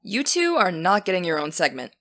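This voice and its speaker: An actress playing an earnest teenage girl who hosts a podcast, keeping things steady and businesslike. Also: lowish voice, fairly quick. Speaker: podcast host. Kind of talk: scripted audio drama.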